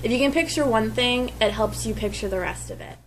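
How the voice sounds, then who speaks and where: A woman with a fairly high voice chats casually in a book review video. A faint hum runs behind her talk. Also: fairly quick.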